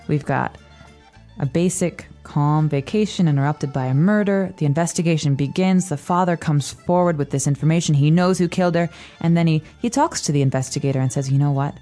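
A woman's voice: deep voice, brisk, mellow, faint music behind. A woman hosting a language lesson in casual, playful chat, teasing her co-host.

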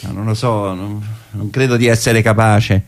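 A man's voice: lowish voice, fairly even intonation, moderate pace, close to an everyday voice. A man playing a reflective, self-doubting older political militant in theatrical dialogue for radio, speaking hesitantly. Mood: flustered, protesting. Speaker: actor.

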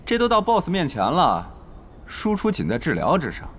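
A man playing a proud, sarcastic young tank player in a Chinese audio drama, mocking and quick to bristle. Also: on the high side, wildly swooping pitch, a bit bright, slight background noise. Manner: mocking, haughty, challenging.

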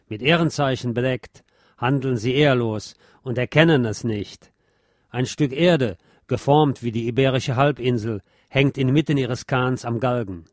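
A man with a deep voice reading a scripted essay aloud in a measured, formal, literary manner. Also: dry recording, clean studio sound. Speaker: host reading essays on history.

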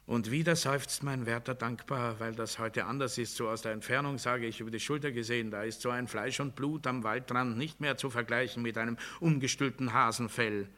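An actor playing a delusional, commanding demagogue in a cell, declaiming rhetorically in a German radio play. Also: male voice, mid-range voice, steady tone, fairly quick, hardly any pauses, lightly acted. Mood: hushed, brooding. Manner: declamatory, rhetorical, swinging between hushed muttering and loud oratory.

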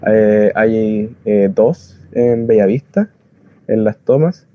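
A man with a Chilean accent speaking informally and earnestly as a community volunteer, halting as he searches for words. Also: fairly low pitch. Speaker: community soup kitchen volunteer. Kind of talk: informal spoken explanation of activism. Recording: dull lo-fi close recording.

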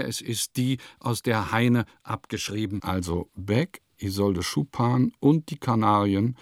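An actor playing a wry traveling letter-writer in a radio play, with a measured, deliberate delivery that savors every odd detail. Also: male voice, on the low side, darkish tone, dry recording, clean studio sound. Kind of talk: literary radio play monologue, scripted narration.

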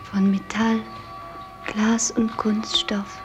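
An actress playing a ceremonious guide in a science fiction radio play, speaking in a formal, measured tour-guide manner. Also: female voice, mid-range voice, level tone, lo-fi sound. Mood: solemn, formal. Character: ceremonious female guide of a sci-fi pleasure house. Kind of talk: scripted radio drama acting. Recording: music behind the voice.

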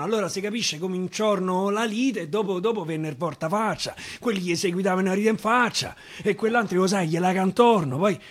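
A man with a Roman accent reciting dialect verse theatrically, swinging from loud declaiming to a hushed whisper. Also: high voice. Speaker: actor reciting dialect poetry. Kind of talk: theatrical poetry recitation for radio. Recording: live guitar accompaniment.

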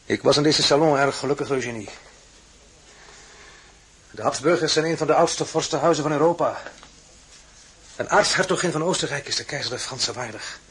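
A man playing a proud, restless, commanding emperor in an audio drama, with a raspy, forceful voice. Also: on the high side, a little fast, pauses often, slight background noise, close to an everyday voice. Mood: nostalgic, proud. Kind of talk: Dutch audio drama acting.

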